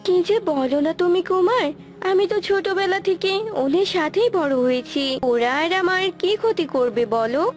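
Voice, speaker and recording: A woman's voice: very high voice, animated, measured pace. A woman voicing a sweet, earnest young forest girl in love in a cartoon. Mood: affectionate. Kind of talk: cartoon character acting. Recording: music behind the voice.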